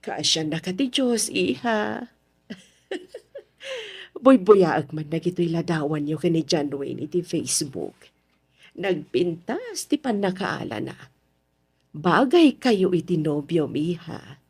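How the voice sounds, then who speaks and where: A woman playing a fond, chatty middle-aged mother in a Filipino radio drama, expressive and drawn out. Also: on the low side, takes it slowly, pauses often, breathy edge, exaggerated voice. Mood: wistful, fond.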